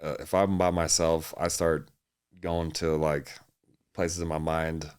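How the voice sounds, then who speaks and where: A man's voice: very deep voice, little pitch movement, measured pace, darkish tone, clear, resonant tone. A young man from Hawaii, a fitness coach, chatting easily as a podcast guest and laughing often. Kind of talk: casual interview chat.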